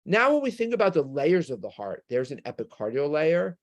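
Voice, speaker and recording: A man's voice: on the high side, very animated, a little fast. A man with a New York accent giving a medical lecture with slides, explaining things step by step and posing rhetorical questions.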